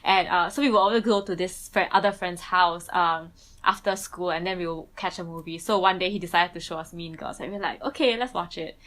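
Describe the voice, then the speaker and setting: A woman's voice: fairly low pitch, brisk, fairly bright tone. A young woman from Bangladesh talking casually about her life in an interview, with lots of "like" and "um" fillers. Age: young adult. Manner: chatty, frequent "like" and "um" fillers, self-restarts. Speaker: student interviewee.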